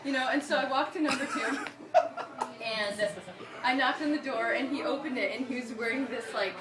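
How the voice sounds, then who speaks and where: A woman's voice: high-pitched, normal speed, roomy sound, distant mic, very noisy. A young woman from Michigan telling a personal story in a chatty, giggly way, with many fillers and bursts of laughter.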